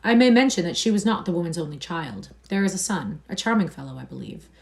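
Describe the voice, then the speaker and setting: A woman voicing a bored, vain young gentleman in a read-aloud novel, languid and dismissive. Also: on the low side, animated, fast talker, gravelly.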